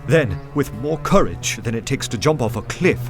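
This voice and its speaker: An actor playing an undercover detective, narrating a radio play in a measured, literate, suspenseful manner. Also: male voice, mid-range voice, lively intonation, lightly acted. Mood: mounting dread. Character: undercover male detective narrating his tense adventure. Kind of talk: dramatic first-person narration over music. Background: music.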